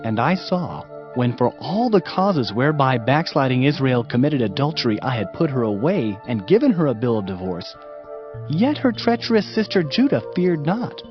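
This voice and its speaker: Solemn man dramatically reading scripture, widely varied pitch. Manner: solemn, declamatory. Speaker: narrator. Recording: dull band-limited audio, music behind. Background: music.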